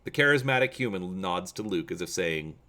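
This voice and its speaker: A man reading an adventure gamebook aloud as a channel host, with measured, theatrical, deliberate phrasing. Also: deep voice, lively intonation, sharp tone. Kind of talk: dramatic audiobook-style reading of an adventure gamebook.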